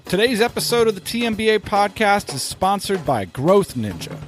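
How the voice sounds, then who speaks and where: A male podcast host with a fairly high voice delivers prepared, scripted lines. Faint music can be heard along with him. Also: big pitch swings, normal speed. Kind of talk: scripted podcast interview questions and sponsor read.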